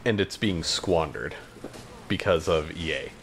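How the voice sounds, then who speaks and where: A man's voice: a bass voice, a little slow, a bit bright, a little hiss or hum. A man co-hosting a podcast in casual chat, matter-of-fact and questioning, feeling his way through sentences. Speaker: podcast co-host.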